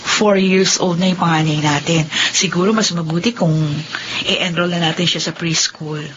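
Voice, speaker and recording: A woman playing an affectionate, gently teasing young wife and mother in a Filipino radio drama. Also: lowish voice, fairly even intonation, normal speed, close to an everyday voice. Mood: casual, affectionate. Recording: dull lo-fi radio recording, faint noise.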